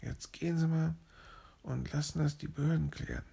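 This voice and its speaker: A man voicing a bossy male parrot police officer who scolds officiously, in a gravelly husky voice. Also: on the high side, level tone, moderate pace, mellow, voice pushed up, full character voice. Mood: scolding.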